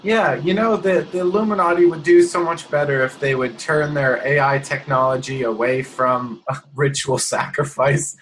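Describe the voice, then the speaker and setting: A man's voice: fairly high pitch, moderate pace, fairly bright tone, a little hiss or hum, poor audio. A man chatting casually in a wry, rambling way. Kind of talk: casual conversation.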